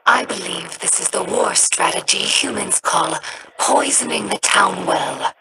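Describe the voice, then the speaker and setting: An actress voicing a young female alien scholar in a video game, earnest and reflective, with a breathy rasp and a slow, solemn delivery. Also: mid-range voice, noisy background. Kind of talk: game character dialogue.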